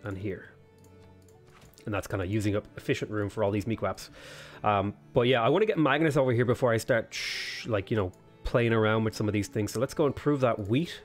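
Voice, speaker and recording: A man's voice: lowish voice, a little fast, soft background music. A man with a sniffly cold, casually commentating a strategy game while he thinks aloud, weighing choices with plenty of ums. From Ireland. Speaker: let's play host.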